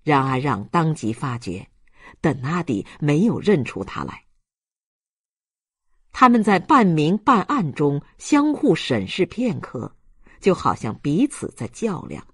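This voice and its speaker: A man reading a novel aloud as a dramatic, deliberate audiobook narrator. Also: fairly high pitch, wildly swooping pitch, slightly rough, no echo, studio quality.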